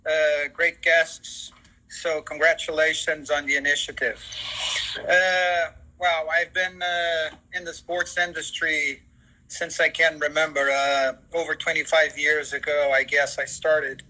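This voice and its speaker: Bright-voiced Portuguese man, higher-pitched. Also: flat delivery, a little slow, low-quality recording. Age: 50s. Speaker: guest, sports events general manager. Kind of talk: interview answers on a livestream.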